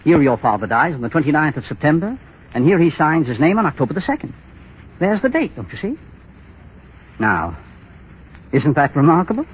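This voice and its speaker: Desperate blackmailer in old 30s radio play, pointed and insistent, swingy husky voice, muffled sound. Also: male voice, a little high, very animated, a little fast, pauses often. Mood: cold, insinuating. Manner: insistent, pointed, coldly insinuating. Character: desperate, menacing bank clerk and blackmailing moneylender. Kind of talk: 1930s radio drama acting. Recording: muffled, scratchy 1930s radio broadcast.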